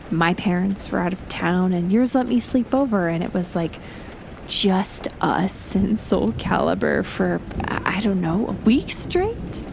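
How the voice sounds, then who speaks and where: A woman's voice: mid-range voice, gravelly. A young woman playing a shy, playful best friend in an intimate audio roleplay, talking casually and hesitantly. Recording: rain and thunder noise behind voice. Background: rain and thunder.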